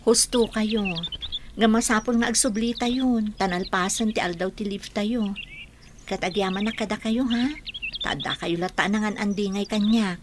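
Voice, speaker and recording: A woman's voice: mid-range voice, fairly even intonation, moderate pace, lightly acted. Woman acting a hospitable village hostess. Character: hospitable village woman hosting her guests. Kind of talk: Ilocano radio drama acting. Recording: noisy bed of bird and insect sounds.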